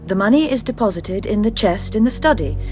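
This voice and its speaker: An actress playing a brisk, composed agent of a secret order in a video game, businesslike and matter-of-fact. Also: female voice, medium pitch, lively intonation, music in the background, lightly acted. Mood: businesslike, helpful. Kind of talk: video game cutscene acting.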